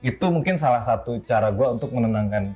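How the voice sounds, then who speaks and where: A man's voice: medium pitch, expressive, quick pace, loud music behind the voice. A man with a Jakarta Indonesian accent speaking candidly in a casual interview, explaining things and repeating phrases. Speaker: guest.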